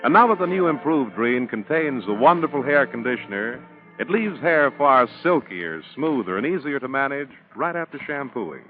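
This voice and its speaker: A man's voice: mid-range voice, sing-song, normal speed. A man announcing a 1940s radio advertisement in a polished, emphatic sales style. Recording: tinny 1940s radio, faint music.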